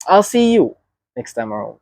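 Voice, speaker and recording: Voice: medium pitch, big pitch swings, moderate pace, gravelly, darkish tone, dry recording, clean studio sound. A reaction video host with a Jamaican accent, giving earnest, reflective commentary and often checking in with "right?".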